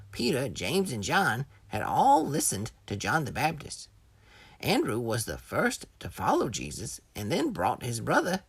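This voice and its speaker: A woman with a very low, raspy voice gives scripted educational narration for children. Her intonation swings widely as she teaches in a storytelling manner, recorded dry. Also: dry recording.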